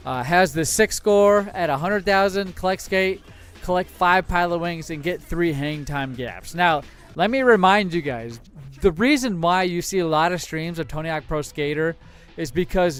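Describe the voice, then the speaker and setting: Clear-voiced American man, slightly high pitch. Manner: enthusiastic, rambling, mild swearing. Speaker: livestream host. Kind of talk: casual game streaming chat. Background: faint music.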